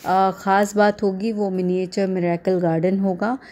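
Female vlogger, 30s, Indian accent. Soft mellow tone, fairly flat intonation, hesitant casual narration. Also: mid-range voice. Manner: hesitant, halting delivery with repeated filler phrases.

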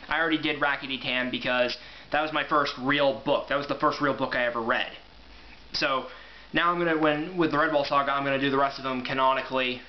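A man in his twenties gives a casual amateur review. His voice sits at a middling pitch. The home recording is slightly dull, with some noise. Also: fairly even intonation, fast. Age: 20s. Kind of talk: casual vlog review with read-aloud passages. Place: small room at home, indoors. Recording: dull home camera audio, faint noise.

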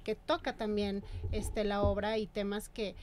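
A woman with a Mexican accent hosting a radio interview in a small studio, chatty and quick. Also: mid-range voice, level tone, a little mellow, a little hiss or hum. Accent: Mexican Spanish. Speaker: host. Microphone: desk mic on stand.

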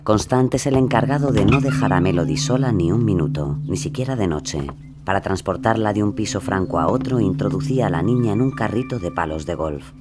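A man's voice: fairly low pitch, lively intonation, fairly quick, loud music behind the voice. A man narrating a scripted true-crime story as the show's narrator.

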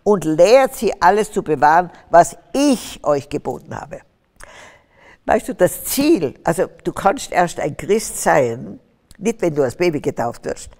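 A woman's voice: fairly low pitch, big pitch swings, a little slow, a little mellow. An older woman from Austria, telling her faith story as a guest on stage in a fervent, preaching way. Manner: fervent, preaching, rising to emphatic bursts. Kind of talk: testimony interview on stage. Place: stage with curtains, large hall. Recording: reverberant hall sound.

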